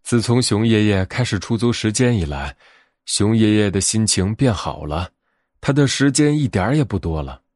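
A man's voice: lowish voice, animated, normal speed, darkish tone, dry recording. A man narrating a scripted children's fairy tale as a bedtime storyteller.

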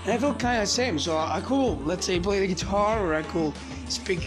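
A man's voice: high voice, lively intonation, normal speed, over music. A man whose first language is Spanish, giving a rambling, motivational solo podcast monologue in casual chat.

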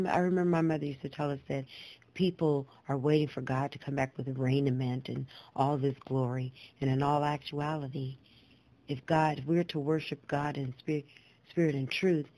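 A woman telling personal stories in a reflective, earnest, deliberate way, repeating phrases for emphasis, with clear, proper diction. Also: low-pitched, warm tone, rough recording. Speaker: guest storyteller, poet and singer.

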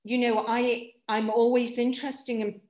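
A woman's voice: fairly high pitch, level tone, a little mellow, echoey room. A woman from Nottingham whose first language is Polish, with a hard-to-place accent, politely asking a question as an actor. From England, Nottingham. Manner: slow, polite, many ums. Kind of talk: casual Q&A.

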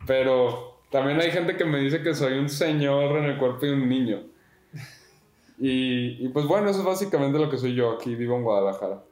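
A man's voice: mid-range voice, moderate pace, darkish tone, reverberant. A man in his twenties with a Mexican accent, talking as a podcast guest in a laid-back, slangy way. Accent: Mexican Spanish. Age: 20s. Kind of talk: casual podcast interview.

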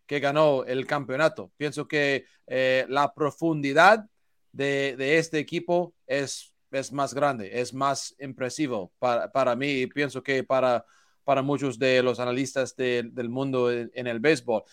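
Slow halting man with a smooth voice. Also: mid-range voice, steady tone, a bit bright, dry recording, clean studio sound. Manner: hesitant, halting, searches for words. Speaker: baseball analyst. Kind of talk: hesitant panel commentary.

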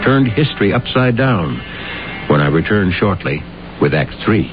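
A man hosting and narrating an old radio drama in a portentous, deliberate, scripted style. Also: low voice, very animated. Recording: dull old radio recording. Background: loud music.

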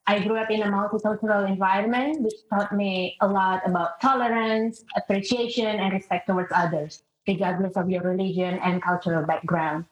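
A woman's voice: mid-range voice, steady tone, a little fast, hardly any pauses. A middle-aged woman with an Indonesian accent, talking reflectively about herself as a guest in an interview. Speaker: podcast guest, international teacher. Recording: muffled, roomy call audio.

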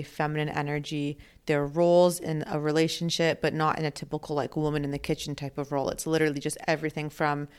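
A woman's voice: low-pitched, clean tone. A young woman hosting a casual podcast, bubbly and gushing, often laughing. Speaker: podcast host.

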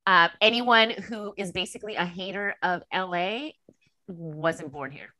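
A woman with a Californian American accent chatting casually as a podcast guest, telling personal stories and acting out bits of dialogue in an animated way. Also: lowish voice, unhurried, no room sound. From Los Angeles, USA. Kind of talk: casual chat, personal storytelling.

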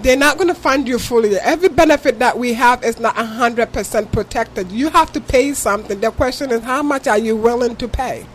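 A woman's voice: fairly high pitch, brisk, few pauses, a little hiss or hum. A woman from Ghana with a Ghanaian accent, a guest on a talk show who explains insurance patiently and earnestly with examples. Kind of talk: interview answers.

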